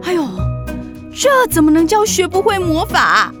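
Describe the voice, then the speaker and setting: A woman's voice: very high voice, big pitch swings, moderate pace, over music. A woman voicing a carefree, doting witch aunt with a playful, exaggerated way of talking, in a children's radio play. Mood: amused, doting. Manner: exaggerated, teasing.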